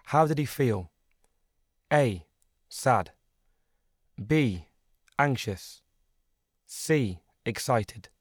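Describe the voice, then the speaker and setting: Male narrator, scripted exam read, mid pitch, dry close recording. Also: expressive, extremely slow, pauses often, no echo. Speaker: listening test narrator.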